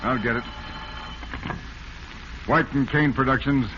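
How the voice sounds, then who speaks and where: An actor playing a scheming, self-assured theatrical producer with clipped, hard-boiled delivery in 1940s radio style. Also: male voice, mid-range voice, steady tone, slow, bright, forceful tone. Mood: brisk confident. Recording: muffled, faintly noisy vintage radio recording.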